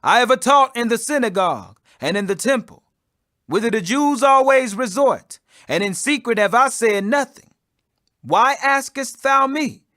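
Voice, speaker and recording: Guy doing a resolute bible teacher facing accusers, high bright voice, firm n declamatory. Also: lively intonation, a little slow, no room sound, studio quality, full character voice. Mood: solemn, declaring.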